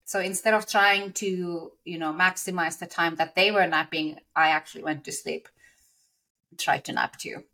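A woman's voice: lowish voice, steady tone, normal speed, pushed and bright. Middle-aged woman, candid podcast storyteller. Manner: conversational, openly confiding. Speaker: podcast co-host telling her own story.

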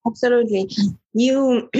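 A woman's voice: on the high side, slow pace, warm tone, breathy edge, dull audio. A middle-aged woman from Romania with a Romanian accent, talking as a podcast guest in an earnest, thoughtful way with frequent restarts. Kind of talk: reflective conversation.

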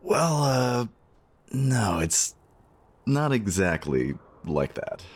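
A man's voice: medium pitch, big pitch swings, very slow, husky, full character voice. A man playing a shy, apologetic diner customer, hesitant and stammering, in a romantic audio drama. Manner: hesitant, stammering, self-effacing.

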